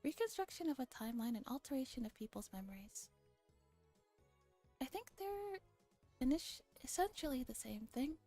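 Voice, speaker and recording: A woman's voice: high-pitched, lively intonation, normal speed, long pauses, dark tone, soft background music. A woman voicing a condescending, self-assured internet theorist in a visual novel, with a lecturing manner. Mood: patient condescension.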